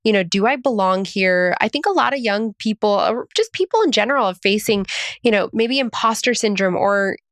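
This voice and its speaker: A woman hosting an interview podcast, talking in an eager, affirming way with frequent quick agreements. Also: medium pitch, animated, fairly bright tone, no echo, studio quality. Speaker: podcast host. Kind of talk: casual interview conversation.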